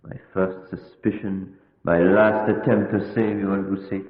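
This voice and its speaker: Older vampire hunter, low voice, solemn, deliberate, echoey tinny radio horror. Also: male voice, level tone. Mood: grave, patient. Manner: deliberate, solemn, foreign syntax. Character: grave older foreign professor, insistent, fatherly vampire hunter. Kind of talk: old-time radio horror acting. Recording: tinny vintage radio with long echoing reverb.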